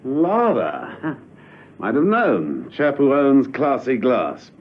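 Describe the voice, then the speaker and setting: Casting: urbane British radio spy hero, unflappable and playful, very varied melody, slightly muffled. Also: male voice, medium pitch, huge pitch swings, drawn-out words, exaggerated voice. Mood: wry, unruffled. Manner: urbane, breezy, wry. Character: suave, unflappable English gentleman secret agent. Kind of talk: spy radio drama acting. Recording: dull old radio recording.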